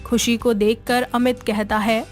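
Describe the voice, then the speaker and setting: A woman narrates a scripted story at a slow pace. Her voice is fairly high, set over faint background music. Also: level tone.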